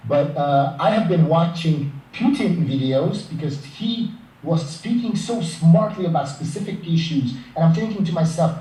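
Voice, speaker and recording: A man from Eastern Hungary with a Hungarian accent, co-hosting an animated, joking casual chat over a video call on his laptop mic. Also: fairly high pitch, normal speed. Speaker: co-host. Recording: roomy, distant, faint background hiss.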